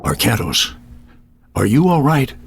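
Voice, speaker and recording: Earnest warrior, animated voice. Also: male voice, mid-range voice, a little slow, creaky, breathy edge, dry recording, studio quality, exaggerated voice. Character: earnest, loyal warrior ally planning a quest. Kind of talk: scripted game cutscene dialogue. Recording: music behind the voice.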